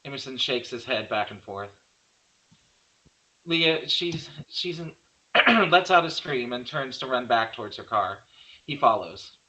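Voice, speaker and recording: A man's voice: mid-range voice, lively intonation, a little slow, bright, forceful tone, rough recording. A man narrating stage directions in an amateur screenplay table read, sometimes stumbling and correcting himself.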